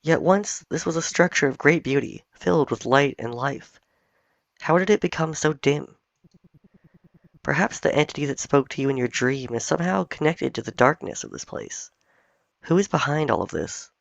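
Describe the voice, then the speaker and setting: A wise, ancient cat spirit guardian speaking in solemn, measured tones, as a man reads it out. The voice has a gravelly edge, and the dull, dry recording carries faint game music underneath. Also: fairly high pitch, expressive, dry recording.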